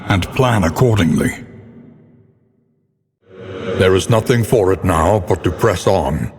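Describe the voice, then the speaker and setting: An actor playing a grim, solemn narrator of a doomed gothic world in a video game, speaking in a theatrical, ominous, measured way. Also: male voice, low-pitched, lively intonation, full character voice. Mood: grim, foreboding. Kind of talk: dramatic scripted game narration. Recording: long cavernous reverb.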